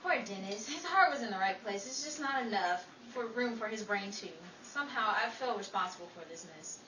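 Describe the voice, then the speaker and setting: A woman's voice: mid-range voice, expressive, a little fast. A woman as a dry, teasing radio dame. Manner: dry, gently mocking. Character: Rachael, dry, teasing woman among bumbling friends. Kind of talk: 1940s old-time radio comedy acting. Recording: echoey, distant, noisy recording.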